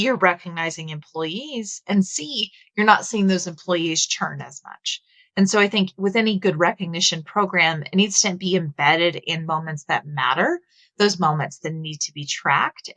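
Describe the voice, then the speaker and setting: Low-voiced Texan woman explaining. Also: sharp tone, no echo, rough recording. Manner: thoughtful, explanatory, with filler words. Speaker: guest. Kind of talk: conversational interview.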